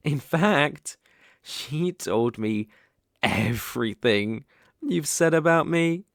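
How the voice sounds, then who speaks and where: A man's voice: on the high side, animated, gravelly, no echo, studio quality, heavily acted. A British man acting a dominant, teasing, possessive young bully in love in an intimate ASMR roleplay. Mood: teasing, amused. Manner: slow, teasing, commanding.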